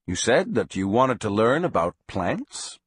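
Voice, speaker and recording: A man reading the part of a stern, blunt sorcerer teacher in a dramatized audio story, calm, direct and commanding. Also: lowish voice, unhurried, husky, dry recording, heavily acted. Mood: calm, scolding.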